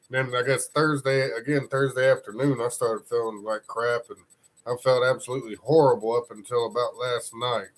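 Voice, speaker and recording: A man's voice: medium pitch, fairly bright tone. A congested man hosting a casual live news stream, rambling loosely and greeting viewers from the chat. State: congested from a cold.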